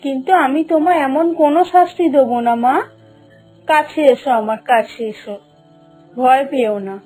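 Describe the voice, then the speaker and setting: A woman voicing a kind, doting mother-in-law in an animated fairy tale, gentle and reassuring. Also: high voice, normal speed, faint music behind.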